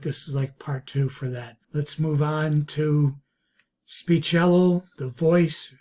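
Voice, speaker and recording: A man narrating a software review walkthrough in halting, piecemeal phrases. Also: on the high side. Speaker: product reviewer. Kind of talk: screen-recorded software walkthrough. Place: voice-over recorded over a screen capture.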